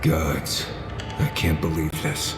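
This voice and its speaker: A man's voice: very deep voice, sing-song, slow pace, heavily acted. A man playing an uneasy, down-to-earth hunter companion in a video game, hesitant and plainspoken. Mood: uneasy, shocked. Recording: roomy echo, music behind.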